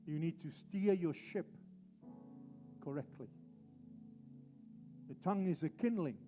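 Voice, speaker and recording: A man preaching at a deliberate pace, leaning on repeated phrases for emphasis. His voice sits fairly high and sounds mellow. The recording is a little dull, with faint music underneath. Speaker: pastor. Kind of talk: sermon.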